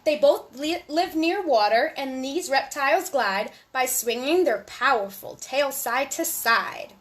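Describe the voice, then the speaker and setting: A woman storyteller reading a rhyming picture book aloud to young children in an animated, sing-song way. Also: sits high, unhurried.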